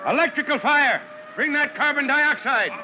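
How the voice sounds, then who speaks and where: A man's voice: extremely high pitch, normal speed, music in the background. A man playing a commanding fire chief in an old-time radio drama. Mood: urgent. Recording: muffled old radio recording.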